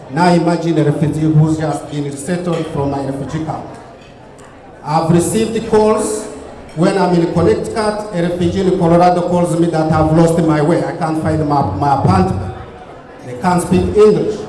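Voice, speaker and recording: A man's voice: a little high, mellow. A man with a Ugandan accent giving an earnest keynote speech at a fundraising gala, building his points through repeated phrases. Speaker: keynote speaker. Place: banquet hall. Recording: echoey hall with long reverb.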